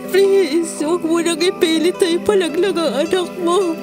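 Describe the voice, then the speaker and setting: A woman's voice: very high voice, steady tone, moderate pace. An actress with a Filipino accent playing a meek, pregnant wife and mother in a melodrama, pleading and emotional. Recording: music bed under the voice.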